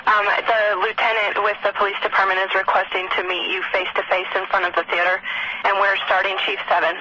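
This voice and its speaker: A man's voice: sits high, little pitch movement, a little fast. A man working as a fire dispatcher, speaking politely and formally in procedural calls over two-way radio. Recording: dull, noisy scanner radio.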